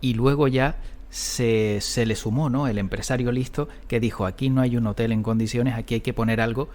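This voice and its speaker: A man with a medium-pitched voice hosts a podcast. He comments informally and conversationally, as if chatting with a guest. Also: fairly even intonation, moderate pace, a little mellow. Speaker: podcast host.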